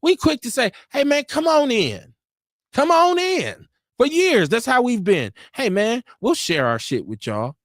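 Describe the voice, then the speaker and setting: A man's voice: very high voice, huge pitch swings, a little slow, fairly bright tone, dry recording, studio quality. A middle-aged American man giving opinions in casual discussion, emphatic and repeating phrases to drive points home. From United States.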